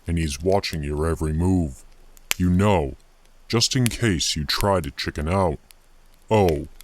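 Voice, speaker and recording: Horror story villain, performed by a male narrator: sadistic masked showman, low deliberate taunts, noisy recording. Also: a little mellow, close to an everyday voice.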